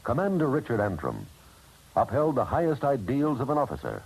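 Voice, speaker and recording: A man's voice: mid-range voice, huge pitch swings, brisk, gravelly, darkish tone, breathy edge, poor audio. An American man giving solemn, formal, emphatic scripted narration as a 1950s radio announcer.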